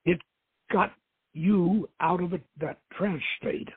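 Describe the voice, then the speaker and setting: A man's voice: high voice, slow pace, husky, no echo, voice pushed up, full character voice. A man voicing a wry, blunt male archaeologist adventurer in a story reading, with a firm manner. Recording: tinny phone-line sound.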